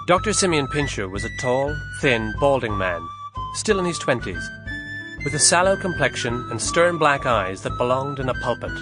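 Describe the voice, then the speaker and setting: A man narrating a historical novel as an audiobook, in a measured, expressive storytelling manner. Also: mid-range voice.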